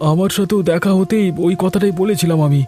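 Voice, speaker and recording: A man's voice: high voice, fairly quick, lightly acted. A man voicing a concerned, sensible village fisherman who speaks earnestly and admonishingly in a cartoon folk tale. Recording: music and rain behind the voice.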